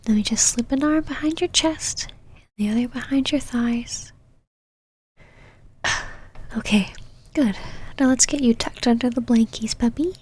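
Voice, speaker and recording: A woman playing a possessive, teasing, domineering female vampire knight in an ASMR roleplay, mostly in a breathy whisper with a commanding manner. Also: on the high side, a little slow, long pauses, darkish tone. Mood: softening, fond.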